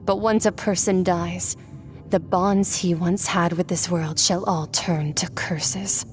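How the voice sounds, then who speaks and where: A woman's voice: fairly low pitch, fairly even intonation, takes it slowly, faint music behind. A woman playing an aloof, otherworldly warrior in a video game, with a detached, matter-of-fact delivery. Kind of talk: English game dub acting.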